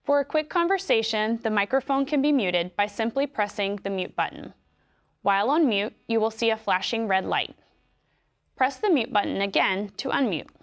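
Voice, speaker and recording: A woman with an American accent giving scripted instructions in a clear, measured way. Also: medium pitch. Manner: clear, measured explanatory delivery. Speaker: instructional video narrator.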